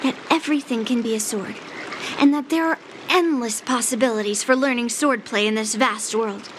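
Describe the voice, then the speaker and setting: A woman playing a composed young female sword examiner in a video game, speaking in a measured, instructive way. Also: fairly high pitch, background noise, close to an everyday voice. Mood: calm, instructive. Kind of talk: video game cutscene acting.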